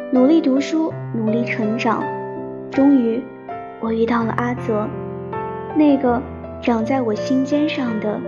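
A woman playing a young, romantic, dreamy student in a radio drama, telling her love story in a soft, sentimental, unhurried way. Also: on the high side, lightly acted. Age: young adult. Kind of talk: radio drama narration and dialogue. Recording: dull lo-fi recording with piano music. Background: piano music.

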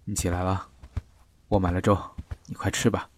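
A man's voice: sits low, flat delivery, normal speed, a little hiss or hum, lightly acted. A man acting a protective, teasing, tender-hearted young lover in an audio drama.

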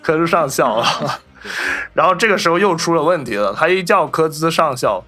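Male podcast host, very bright mid-pitched voice, casual anecdotal storyteller, music underneath. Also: animated, normal speed. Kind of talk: casual film discussion, telling behind-the-scenes anecdotes.

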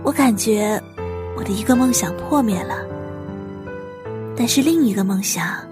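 A woman's voice: medium pitch, measured pace, over music, lightly acted. A woman playing an eager, earnest and polite young would-be chef in a modern urban audio drama. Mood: wistful narration.

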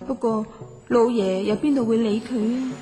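A woman's voice: on the high side, steady tone, normal speed, warm tone, natural voice. An actress playing a self-possessed young woman novelist in a radio drama, with a Hong Kong Cantonese accent. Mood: absorbed, storytelling. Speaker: lead actor and narrator. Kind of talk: radio drama acting and narration. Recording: music behind.

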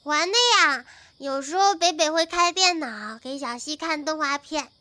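A woman playing an innocent, sweet little girl in an audio drama, talking in simple, halting childlike phrases. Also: high-pitched, pushed and bright, no room sound. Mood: innocent, earnest. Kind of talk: modern audio drama acting.

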